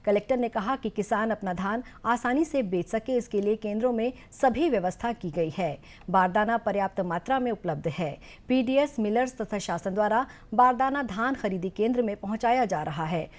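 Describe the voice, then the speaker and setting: Woman reading a formal news bulletin. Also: medium pitch. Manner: formal, measured delivery. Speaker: news presenter.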